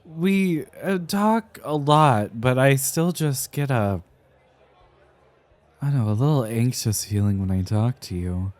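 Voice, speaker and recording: A man playing an anxious, rambling young architect in an ASMR roleplay, with crisply enunciated, theatrical but hesitant speech. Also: mid-range voice, expressive, a little slow, darkish tone, lightly acted. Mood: anxious, earnest. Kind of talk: ASMR roleplay, speaking to the listener.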